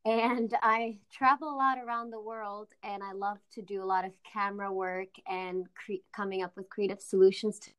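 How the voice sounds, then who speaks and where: A woman's voice: mid-range voice, flat delivery, moderate pace, few pauses, clear, resonant tone, low-quality recording. A woman in her thirties, from the Czech Republic and raised in New York, talking casually as a guest over a remote call, friendly and full of fillers and restarts. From Czech Republic, raised in New York City. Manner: friendly, lots of fillers and restarts, laughs easily. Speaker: guest, co-founder of a media consultancy. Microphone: remote call audio.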